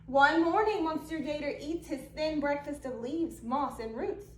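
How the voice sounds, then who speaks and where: Woman reading a bedtime picture book, very high voice, slow, echoey room. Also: distant mic. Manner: slow expressive storytelling with Cajun-flavored phrasing. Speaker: storybook reader. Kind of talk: animated read-aloud for children.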